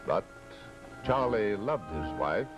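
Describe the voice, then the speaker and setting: An ominous horror host speaks very slowly in a low, expressive voice over prominent music. He narrates in the manner of old-time radio. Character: ominous, unhurried male storyteller of horror tales. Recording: noisy old radio broadcast.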